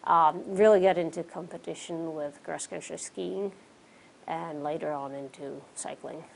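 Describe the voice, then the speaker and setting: A woman in her forties from the Czech Republic with a Czech accent, relaxed as an interview guest, sprinkling in fillers. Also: deep voice, measured pace, gravelly, a little hiss or hum, low-quality recording. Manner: relaxed, many fillers like "you know" and "um". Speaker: interview guest, pro cyclist. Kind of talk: casual interview answers. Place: small studio with curtains, indoors.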